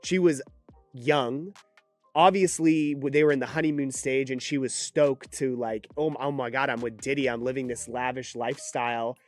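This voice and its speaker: A man with a mid-pitched voice chats casually as a commentator, with music behind him. He is informal and slangy, leaning on his points. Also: steady tone, moderate pace, dry recording. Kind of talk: casual chat about a trial.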